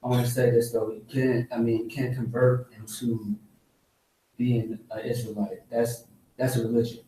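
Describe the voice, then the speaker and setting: A man's voice: medium pitch, flat delivery, moderate pace, mellow, recorded from a distance. A man preaching casually to a small group, insistent, repeatedly checking he is understood. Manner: insistent, keeps asking "see what I'm saying?". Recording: echoey room, voice heard from off mic.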